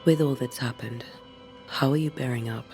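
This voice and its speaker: An actor playing a steady, dutiful ghost hunter in a period game, calm and plain-spoken with archaic phrasing. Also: male voice, mid-range voice, moderate pace, vocal fry, faint music behind, lightly acted. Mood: gently reassuring. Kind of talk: dramatic period game acting.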